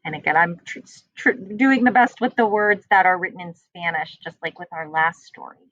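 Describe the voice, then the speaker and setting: A woman's voice: lowish voice, normal speed, a bit bright, no room sound. Woman reading a storybook, dry slightly muffled sound. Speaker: teacher reading aloud. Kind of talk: children's storybook read-aloud narration.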